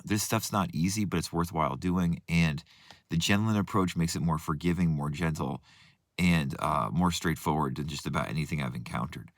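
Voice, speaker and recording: A man with a very low, hoarse voice talks earnestly as a coach. He often restarts and steps aside from his point. The sound is dry and close. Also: normal speed, dry recording. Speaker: manifestation coach. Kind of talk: reflective talk with book readings.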